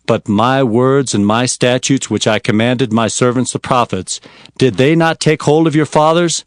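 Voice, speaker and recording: A man's voice: medium pitch, moderate pace, no room sound, studio quality, lightly acted. An actor playing an earnest prophet recounting his visions, in solemn and dramatic scripture narration. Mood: stern, challenging.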